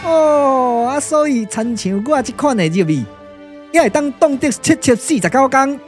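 A man's voice: very high voice, animated, moderate pace, faint music behind. Man voicing a cheeky, grumbling Taiwanese comic magician. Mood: comic worry. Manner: folksy, exclamatory, clownish. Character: cheeky, boastful, grumbling comic immortal magician, reluctant sidekick. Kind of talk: Taiwanese puppet radio drama.

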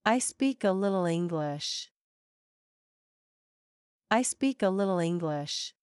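Woman reading lesson sentences nice and careful, lowish voice, really crisp. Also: lively intonation, slow, darkish tone, no room sound, studio quality. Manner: careful, evenly paced repetition. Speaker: English sentence reader. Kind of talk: slow, clear example-sentence reading for learners.

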